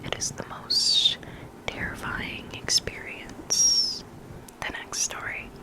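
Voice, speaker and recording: Hushed ASMR whisperer reading creepy stories. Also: takes it slowly. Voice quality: breathy unvoiced whisper.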